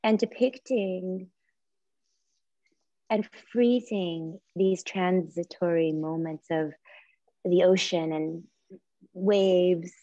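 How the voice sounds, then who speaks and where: A woman in her forties whose first language is Japanese, speaking as a visual artist on a video call, soft and reflective with many pauses and restarts. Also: on the low side, fairly even intonation, no echo. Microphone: laptop or phone camera on video call. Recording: muffled lo-fi video call audio.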